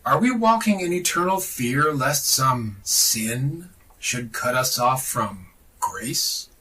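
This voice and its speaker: A man's voice: mid-range voice, animated, takes it slowly, pushed and bright, distant mic, low-quality recording. A man giving a solemn, oratorical reading of a scripted religious text, declamatory and reverent, with emphatic phrasing. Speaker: reader of a religious text on a podcast.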